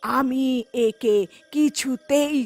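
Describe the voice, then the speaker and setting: Woman voicing a slow, high-pitched vengeful witch ghost. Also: soft background music, heavily acted. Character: vengeful female witch ghost, menacing and scornful. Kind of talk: animated horror folktale acting.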